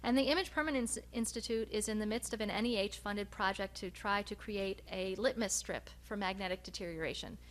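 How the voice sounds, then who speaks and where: A woman researcher giving a research presentation, speaking in a measured, hesitant way with frequent pauses. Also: mid-range voice. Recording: noticeable background hum and noise.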